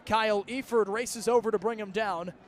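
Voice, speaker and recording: Young guy doing radio football play by play, high voice, clipped phrases, some stadium noise behind. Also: steady tone, normal speed. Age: young adult. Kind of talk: live college football radio play-by-play commentary. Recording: outdoor stadium broadcast with background noise.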